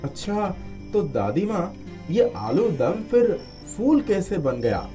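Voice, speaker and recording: A respectful village headman in a cartoon moral tale, played by a man. His mellow voice swings widely in pitch, expressive and eager. Faint music sits underneath. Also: slow pace, heavily acted. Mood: puzzled. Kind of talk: Hindi animated moral story acting.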